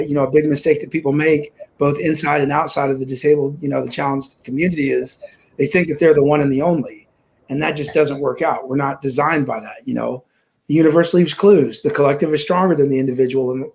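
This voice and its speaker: A man's voice: fairly high pitch, darkish tone, a little hiss or hum. A man in his forties from Kansas, telling his life story as an interview guest on a video call from home, upbeat and optimistic with frequent restarts. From Kansas, USA. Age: 40s. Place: home room.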